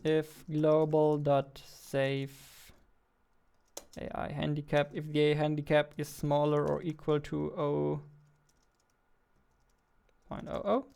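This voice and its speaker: Young man with a creaky, even voice. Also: on the high side. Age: 20s. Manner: halting while typing, reads text aloud slowly. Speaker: indie game developer. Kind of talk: casual narrated coding livestream or devlog. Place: indoors, at a computer desk. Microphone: studio mic on boom arm, close.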